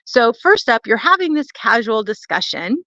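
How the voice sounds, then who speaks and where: Higher-voiced woman, chatty livestream coach, muffled sound. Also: very animated, normal speed, sharp tone, no echo. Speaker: networking coach and livestream host. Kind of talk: live talk to online viewers, chatty coaching. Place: indoors at a family home.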